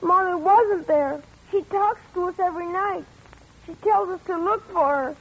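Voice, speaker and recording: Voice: very high-pitched, normal speed, a bit bright. An actor playing a frightened, stubborn young boy, grieving and resentful, in a 1940s radio suspense drama. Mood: insistent, secretive. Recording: muffled vintage 1940s radio recording.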